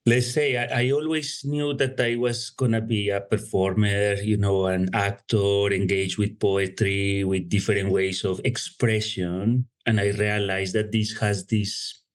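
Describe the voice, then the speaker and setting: Colombian guy, lowish voice, thoughtful and chatty, keeps saying you know. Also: steady tone, a little slow, hardly any pauses. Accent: Colombian Spanish. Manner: reflective, thoughtful, says "you know" often. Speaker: guest youth worker. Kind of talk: casual interview.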